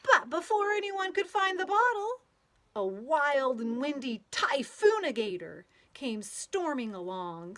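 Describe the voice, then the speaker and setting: A woman reading a children's picture book aloud in an expressive storytelling manner, drawing out words and playing with the sound words. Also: a little high. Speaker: storybook read-aloud reader.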